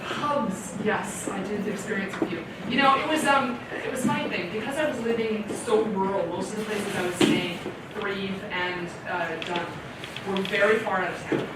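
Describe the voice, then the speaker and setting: Animated woman in an echoey library hall. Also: medium pitch, moderate pace. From Canada. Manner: chatty and animated, laughs often, acts out her own reactions. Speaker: library talk presenter. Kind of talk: casual Q&A talk to an audience. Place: library meeting room with audience. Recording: echoey hall audio from far off with loud room noise.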